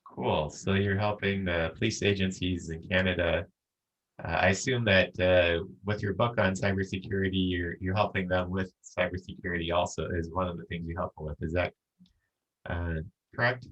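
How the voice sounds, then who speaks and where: Low-voiced male podcast host, casual. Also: flat delivery, normal speed, a bit bright, dull audio. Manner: casual, filler-heavy questions. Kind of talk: interview hosting.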